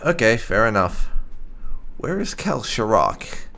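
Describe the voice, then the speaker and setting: A man hosting a roleplay let's play, commenting in a halting, thoughtful way and trailing off as he thinks. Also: on the low side, very animated, gravelly, bright, forceful tone. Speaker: gaming channel host.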